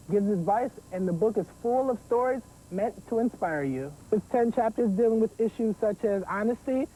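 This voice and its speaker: A woman's voice: medium pitch, warm tone, poor audio. A woman giving a scripted book review as a presenter on a youth TV show. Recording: faint background noise.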